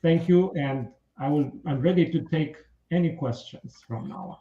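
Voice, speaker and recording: A man with a Romanian accent, a political candidate speaking earnestly in a radio interview, with halting, measured phrasing. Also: fairly high pitch, echoey room, phone-quality sound.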